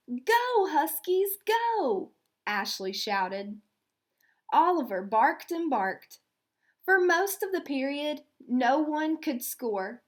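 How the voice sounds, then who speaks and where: A woman with a high voice reads aloud as an elementary school teacher. She takes her time, moving slowly and deliberately as she explains each step. Her pitch moves around a lot, giving the storytelling an animated lift.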